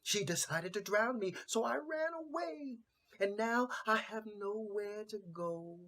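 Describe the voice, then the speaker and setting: A man voicing a sad, hesitant old female cat in a storybook tale, with a slow, mournful, sighing delivery. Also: sits high, animated, bright, forceful tone, voice pushed up, full character voice. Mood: mournful, weary.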